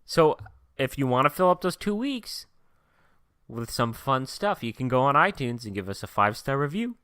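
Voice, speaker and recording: Bright smooth-voiced young podcast host. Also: male voice, medium pitch, sing-song, normal speed. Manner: upbeat, joking, plugs the show. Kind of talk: casual podcast chat.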